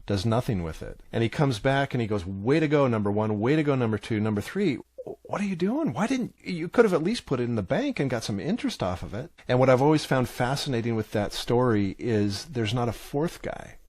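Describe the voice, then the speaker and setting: A man's voice: mid-range voice, big pitch swings, fairly quick, darkish tone, no room sound. A man speaking as an interview guest, telling stories conversationally and driving his key points home with emphasis. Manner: emphatic, repeats key points, acts out quotes. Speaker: podcast guest, author and teacher.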